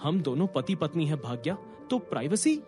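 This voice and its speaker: Young husband, earnest, devoted, animated pitch, audio drama. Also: male voice, brisk, faint music behind. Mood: hesitant, earnest. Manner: tentative, earnest. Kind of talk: Hindi audio drama acting.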